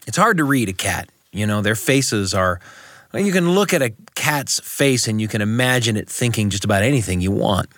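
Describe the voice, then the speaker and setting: A man's voice: fairly low pitch, lively intonation, a bit bright, no echo. Reflective man telling a personal story, close and dry. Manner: reflective, deliberate, with short phrases and pauses. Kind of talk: personal storytelling monologue, scripted narration.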